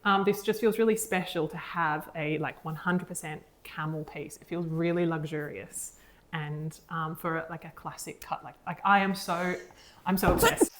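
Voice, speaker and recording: Give me a young woman with a low voice, chatting and rambling eagerly. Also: normal speed. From Australia. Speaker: podcast co-host. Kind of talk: casual chat.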